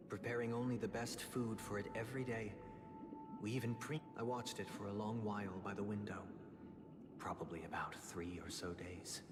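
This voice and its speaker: An actor playing a composed, solemn dignitary telling stories in a formal, deliberate way in a video game. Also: male voice, low-pitched. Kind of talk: scripted game dialogue, measured narration. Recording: long reverb, loud music. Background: loud game music.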